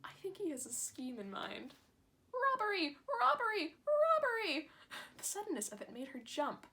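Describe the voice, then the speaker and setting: Young female host, high voice, reads a fairy tale and breaks in with chatty asides. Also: sing-song, a little fast. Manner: chatty, self-deprecating asides. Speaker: channel host.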